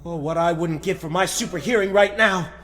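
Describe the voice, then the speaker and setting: A man's voice: high voice, fairly quick, a bit bright. A man playing an earnest, heroic reporter with a secret superhero life, thinking aloud in declamatory 1940s radio style. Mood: furtive, frustrated. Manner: declamatory, dramatic.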